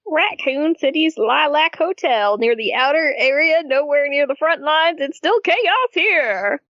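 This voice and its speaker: A woman's voice: sits high, unhurried, a bit bright, muffled recording. A woman co-hosting a podcast, reading fan fiction parts aloud between bits of casual banter. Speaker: podcast co-host.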